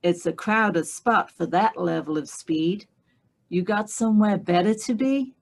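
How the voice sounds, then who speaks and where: A woman voicing a calm, amused American cowboy with a deep, slow drawl.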